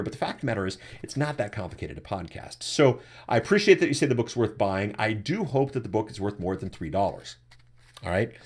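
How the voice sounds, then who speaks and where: A man in his forties with a deep voice, hosting a live webcast and answering chat questions about podcasting from his desk. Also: slightly rough. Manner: animated, repeats words when starting a thought. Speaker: podcasting coach and webcast host. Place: indoors at a desk, webcam framing. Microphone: desktop USB microphone.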